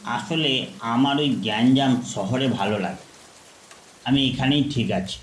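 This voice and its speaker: A gentle older village man, played by a male storyteller speaks in a mid-pitched, hospitable and coaxing way. The sound is echoey, with faint rain noise behind it. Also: a little slow, natural voice. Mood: resigned, gentle. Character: kindly insistent older village man living alone.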